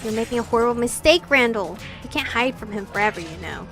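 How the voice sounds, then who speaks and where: Girl acting as angry vampire guy in a visual novel, sweary threats, yelly, high pitched, music under it. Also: expressive, fast talker. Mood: menacing, taunting. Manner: shouted, aggressive. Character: furious brawling male vampires, foul-mouthed and threatening.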